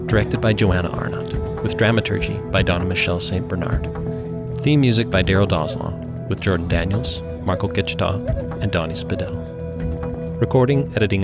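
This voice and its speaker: Male announcer, very low-pitched, scripted show credits over loud music. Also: normal speed. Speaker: announcer and sound designer. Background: loud theme music.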